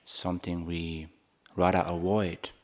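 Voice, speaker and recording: Man, low voice, very slow pace, meditation teacher, guided meditation talk, short measured phrases, long pauses. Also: level tone, a little mellow. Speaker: Buddhist monk, meditation teacher.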